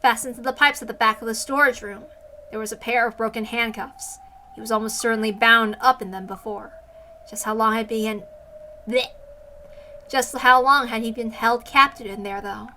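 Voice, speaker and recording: A woman's voice: on the high side, steady tone, normal speed, sharp tone, a little hiss or hum. A woman narrating a visual novel aloud as a dramatic story reader.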